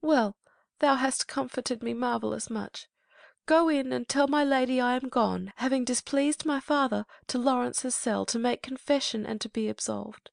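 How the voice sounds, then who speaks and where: A woman's voice: a little high, normal speed, gravelly, dry recording, clean studio sound. A woman playing an anguished, passionate teenage noble girl in an impassioned Shakespearean dramatic reading. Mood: bitter, resolved. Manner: pleading, impassioned.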